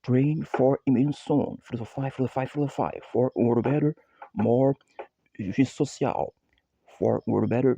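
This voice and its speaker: A Brazilian man with a Portuguese accent speaking halting English in a slow, repetitive, chant-like monologue. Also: medium pitch, creaky, warm tone, no room sound, muffled recording.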